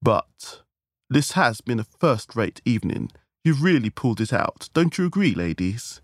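A man voicing an imperious middle-aged society chairwoman, pompous, deliberate and pious in a comic story. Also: mid-range voice, big pitch swings, dry recording, studio quality, pitched above the performer's own voice. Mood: grandly approving.

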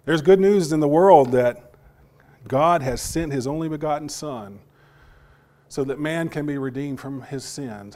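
Hoarse male preacher. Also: medium pitch, a little mellow. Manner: halting, repeats words, asks the congregation questions. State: partly lost voice, recovering. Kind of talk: sermon.

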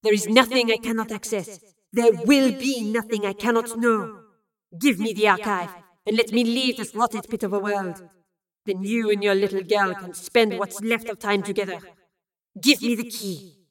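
A woman's voice: medium pitch, steady tone, moderate pace, no echo, lightly acted. A woman playing a power-hungry villainess in an audio drama, contemptuous and imperious, sneering and hissing her words.

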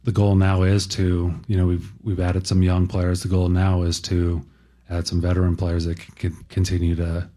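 Male team executive at a press conference: low, monotone, halting and careful with words.

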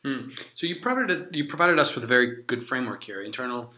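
A man moderates a panel, feeling his way through long questions with pauses and restarts. His voice is bright and crisp at a middling pitch. The room adds a clear echo. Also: expressive. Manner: thoughtful, searching questions with restarts. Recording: echoey room.

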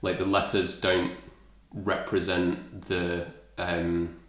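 A man's voice: low-pitched, little pitch movement, slow, fairly bright tone. A man hosting a podcast in a thoughtful, rambling casual chat with many fillers. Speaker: podcast host. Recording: echoey room.

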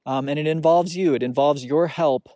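Male podcast host with a soothing, slightly high voice; reflective solo talk, halting with restarts, dry close recording. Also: mellow, dry recording. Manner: halting, searching, with many restarts and fillers. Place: outdoors on a snowy mountain hike.

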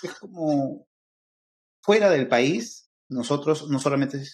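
A man with a Peruvian accent, a guest lecturer explaining ideas thoughtfully in a podcast interview, often pausing on fillers. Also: a little high. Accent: Peruvian Spanish. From Peru.

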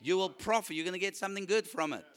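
Afrikaans-speaking preacher guy, bit high voice, bright and forceful, keeps asking the crowd to respond. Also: rapid-fire, smooth. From South Africa. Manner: animated, calls for audience response. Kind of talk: sermon.

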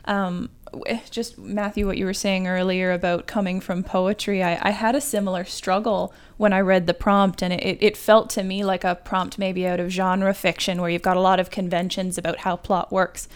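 Clear, even-toned woman writer. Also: mid-range voice, normal speed. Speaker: guest writer. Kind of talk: reflective panel chat.

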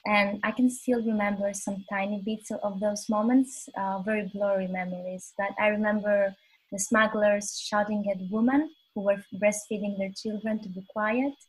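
A woman in her twenties from Albania, a guest on a podcast, telling her story in a modest, earnest, soft-spoken way. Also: mid-range voice, fairly even intonation, moderate pace, breathy edge, rough recording. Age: 20s. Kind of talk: casual interview conversation.

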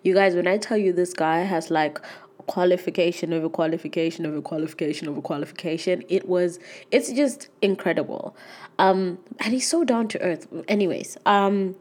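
A woman's voice: fairly low pitch, brisk, a little mellow. Nasal young woman with a cold. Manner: chatty and earnest, many fillers like "um" and "like". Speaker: podcast host. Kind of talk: casual solo podcast chat.

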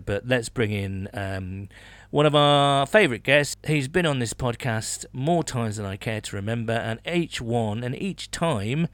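A man talks sports casually as a podcast co-host. His voice sits at a middling pitch and his phrasing is colloquial and colourful. The sound is close and dry, with very little room in it. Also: fairly bright tone, no echo.

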